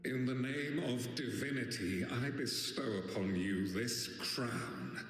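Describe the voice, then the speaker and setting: Game high priest: grave, ceremonial, drawn-out delivery, cavernous reverberant sound. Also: male voice, fairly bright tone. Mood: solemn, grand. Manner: grave, stately, liturgical. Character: solemn high priest crowning a chosen maiden. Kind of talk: ceremonial video game voice acting. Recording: echoey cavernous hall, music behind.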